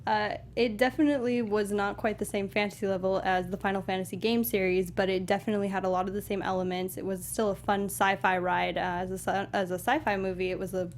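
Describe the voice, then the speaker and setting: A woman's voice: medium pitch, fairly even intonation, fast, few pauses. A woman with a mid-range voice co-hosts a movie review show. She speaks in casual discussion, with a little noise in the background. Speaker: co-host of a film review show.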